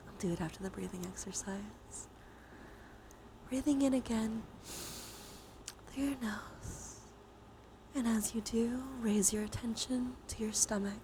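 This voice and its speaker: A woman guides a relaxation exercise in a gentle, mostly whispered ASMR style. Her voice sits fairly high and she takes her time, with long, unhurried phrasing. Clear ambient noise runs behind the voice. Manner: soft, mostly whispered delivery; soothing, gentle guiding. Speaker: live streamer leading relaxation exercises. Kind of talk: ASMR guided breathing and body scan with chat asides.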